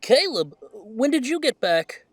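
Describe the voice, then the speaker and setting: A man playing an anxious, gentle young male werewolf son in an audio drama, flustered and hesitant. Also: extremely high pitch, big pitch swings, measured pace, no room sound, full character voice. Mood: startled.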